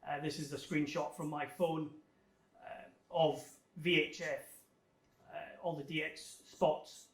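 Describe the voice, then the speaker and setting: A man's voice: fairly high pitch, flat delivery, slow pace. A man giving an informal technical slide presentation to a club, explaining things in a conversational, somewhat hesitant way. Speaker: amateur radio club guest speaker. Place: indoor room, club talk to an audience. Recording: echoey room, distant microphone.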